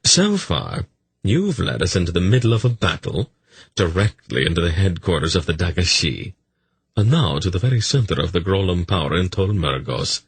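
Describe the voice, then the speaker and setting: A man's voice: low voice, a little slow, slightly rough, no echo, pitched above the performer's own voice. A man voicing a sardonic, fussy rogue in a fantasy audiobook, with dry sarcasm. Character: sardonic, fussy, quick-witted male rogue.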